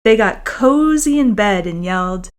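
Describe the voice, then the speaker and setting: A woman's voice: mid-range voice, animated, measured pace. Woman author reading a storybook aloud. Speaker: children's author reading their own book. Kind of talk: expressive storybook read-aloud and friendly chat to young listeners.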